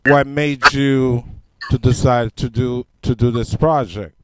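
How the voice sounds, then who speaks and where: A man hosting a podcast interview in an unhurried, laid-back way. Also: medium pitch, fairly even intonation, background noise. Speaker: podcast host. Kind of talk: casual interview.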